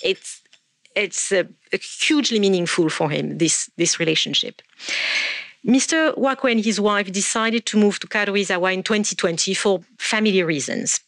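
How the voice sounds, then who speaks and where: A woman gives a talk from a lectern, speaking in a measured way with frequent ums and restarts. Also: mid-range voice, fairly bright tone, no echo. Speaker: art researcher giving a talk. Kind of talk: presentation lecture. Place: indoor stage at a lectern. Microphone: stand mic at lectern.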